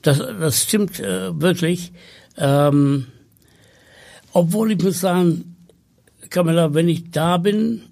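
Slow, gravelly man. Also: fairly high pitch, a little mellow. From Sri Lanka. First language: Tamil. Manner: slow and hesitant with many fillers. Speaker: podcast guest. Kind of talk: conversational interview.

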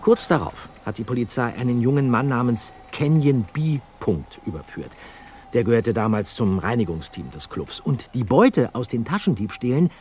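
An actor playing an earnest, diligent boy detective in an audio drama, telling things deliberately to build suspense. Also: medium pitch, very animated, mellow, a little hiss or hum, natural voice. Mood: suspenseful reporting. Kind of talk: German audio drama acting.

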